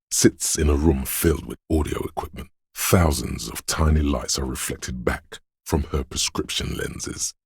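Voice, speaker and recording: A man voicing a brooding, spectral inner voice of a cold, haunted city in a video game, speaking solemnly in literary, atmospheric narration with a gravelly, husky tone. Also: extremely low pitch, no room sound, clean studio sound, heavily acted. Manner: solemn, ominous, measured.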